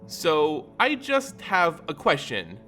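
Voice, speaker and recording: A man's voice: on the high side, wildly swooping pitch, takes it slowly, pushed and bright, faint music behind, exaggerated voice. A man voicing a curious, playful young woman adventurer in a fantasy story, casual and inquisitive.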